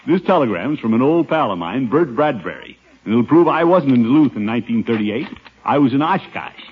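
A man playing a flustered middle-aged American uncle in an old radio sitcom. His pitch swoops wildly as he blusters, stammers and chuckles nervously, all through a thin, tinny vintage recording. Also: lively intonation, fairly quick, slight background noise, close to an everyday voice. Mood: smug. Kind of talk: 1950s radio situation comedy acting.

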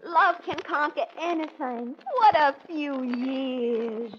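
A woman's voice: high voice, takes it slowly. An actress playing a coy, coaxing, flirtatious woman in her thirties in 1940s radio comedy style. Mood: soothing, coaxing. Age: 30s. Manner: coaxing, theatrical. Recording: muffled, noisy 1940s radio recording.

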